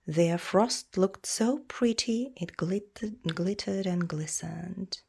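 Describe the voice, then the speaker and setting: A woman reading a children's storybook aloud in a measured, expressive storytelling way. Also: on the low side, vocal fry, slightly rough. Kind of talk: read-aloud storybook narration.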